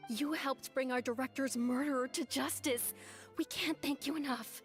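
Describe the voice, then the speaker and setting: A woman's voice: sits high, steady tone, moderate pace, faint music behind. A woman playing an eager, earnest young theatre performer in a video game. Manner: grateful, earnest. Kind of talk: video game character acting.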